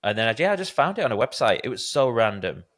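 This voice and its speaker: A man's voice: medium pitch, sing-song, quick pace, a bit bright. A man hosting a podcast interview, telling candid, self-deprecating stories in a casual conversational way. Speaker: podcast host.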